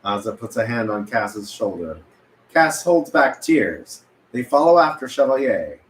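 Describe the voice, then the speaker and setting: A man's voice: medium pitch, sing-song, measured pace. A man reading stage directions at a script table read, occasionally stumbling and correcting himself. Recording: echoey room with background noise.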